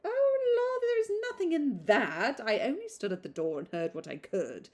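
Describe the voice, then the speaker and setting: A woman's voice: fairly high pitch, big pitch swings, normal speed, voice pushed up. A woman voicing a gossipy, affected young woman from a period novel, chattering in exclamatory run-on sentences. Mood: gossipy, brazen. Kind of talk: novel read aloud.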